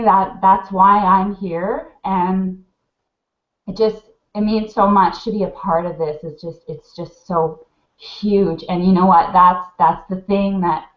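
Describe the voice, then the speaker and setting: A woman mentor, sniffly from crying, gushing and rambling with lots of fillers while giving casual advice on a live video call. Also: medium pitch. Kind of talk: heartfelt casual advice chat. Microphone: webcam on a video call. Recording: roomy, dull webcam audio.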